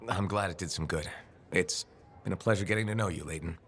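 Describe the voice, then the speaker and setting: An actor playing a calm, earnest male freelancer in a video game cutscene. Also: low voice, fast talker, slight background noise.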